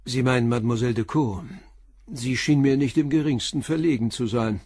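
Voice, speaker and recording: A man plays a patient, probing police inspector in a radio crime drama. His voice is husky and sits in a middle range. It is recorded close and dry. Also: mid-range voice, fairly quick, dry recording, natural voice. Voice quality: calm, probing questions. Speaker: detective questioning a suspect. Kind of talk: radio play acting.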